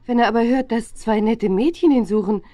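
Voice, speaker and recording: An actress playing an indulgent, gently teasing middle-aged mother in a children's radio play. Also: on the high side, fairly quick, no echo, clean studio sound, natural voice.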